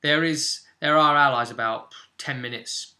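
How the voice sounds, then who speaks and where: A man's voice: on the high side, measured pace, pushed and bright. A man hosting as game master, casually explaining a tabletop role-play session in loosely phrased speech with asides.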